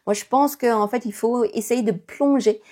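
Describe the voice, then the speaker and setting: Breathy woman teacher. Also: on the high side, measured pace, a little mellow. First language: Chinese. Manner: many fillers, thoughtful, encouraging. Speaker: Chinese teacher. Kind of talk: casual video lesson.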